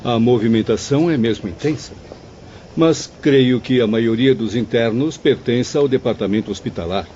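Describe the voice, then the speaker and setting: A man's voice: medium pitch, normal speed, warm tone, lightly acted. A man playing a thoughtful, inquisitive professor in a formal audio drama. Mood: curious. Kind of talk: dramatised audio-book dialogue. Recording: dull, long reverb, faint ambient noise.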